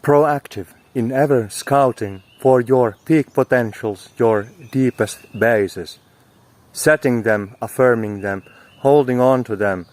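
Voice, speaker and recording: A man delivering a motivational spiritual monologue as a teacher, speaking in an emphatic, poetic, exhorting way. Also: medium pitch.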